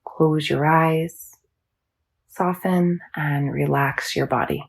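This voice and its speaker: A woman teaching yoga nidra, guiding a meditation in a soothing, measured, instructional manner. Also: low voice, steady tone, creaky, breathy edge. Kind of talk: guided meditation.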